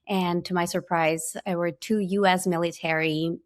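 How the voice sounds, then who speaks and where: Woman, Ecuadorian Spanish accent, lowish voice, earnest storytelling guest, physician scientist. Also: fairly even intonation, normal speed. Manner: earnest, many fillers and restarts. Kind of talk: personal storytelling in conversation.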